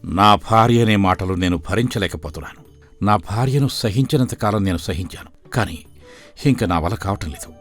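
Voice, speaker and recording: A man playing a long-suffering, gentle and weary husband in an animated folktale, pleading and mournful. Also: lowish voice, normal speed, soft background music. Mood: despairing.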